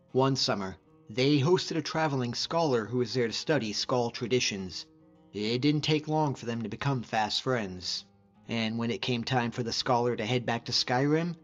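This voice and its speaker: A man's voice: medium pitch, lo-fi sound, lightly acted. A man voicing a hardy, easygoing hunter and frost mage as a fantasy game companion, talking informally and sincerely in a reflective, plain-spoken way. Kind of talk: conversational scripted game character dialogue.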